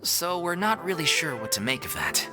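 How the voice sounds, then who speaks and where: A man's voice: on the high side, very animated, normal speed, over music. An actor voicing an earnest male member of a theatre troupe in a video game, telling things expressively. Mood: concerned.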